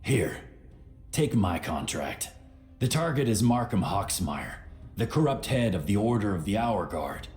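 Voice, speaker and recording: An actor playing a grave, terse assassin of a dark brotherhood in a fantasy video game, with measured delivery. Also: male voice, on the low side, sing-song. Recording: roomy echo, faint music behind.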